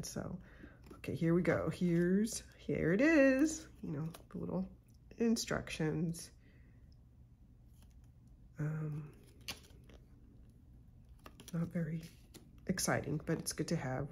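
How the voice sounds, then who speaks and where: A woman with a head cold talks through an unboxing in a slow, relaxed way, her voice husky and a little stuffed up. She sits low and easy in her register, adding quiet asides as she goes. The sound is that of a home desk. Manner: chatty, unhurried, with soft asides. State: congested from a cold. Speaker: YouTube channel host. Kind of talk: casual pen unboxing chat.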